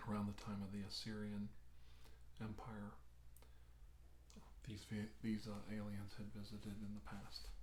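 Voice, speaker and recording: A man with a lowish voice plays a calm, reassuring doctor in an ASMR role-play. He speaks in a hushed, unhurried way and slips into whispers. The room adds noticeable echo, with a faint layer of background noise. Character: calm, reassuring doctor briefing on alien abductions. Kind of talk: soft-spoken ASMR medical role-play.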